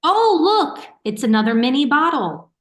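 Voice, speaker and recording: Woman playing insistent, worried, high-voiced confronter. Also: big pitch swings, moderate pace. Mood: accusing. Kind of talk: virtual staged play reading.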